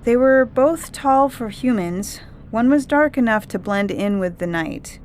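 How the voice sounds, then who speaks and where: A woman's voice: a little high, animated, a little slow, darkish tone, a little hiss or hum, pitched above the performer's own voice. A woman voicing an earnest, insistent young girl telling her account in a dramatised story reading. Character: young girl witness, earnest, recounting alien visitors. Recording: music bed under the reading. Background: music.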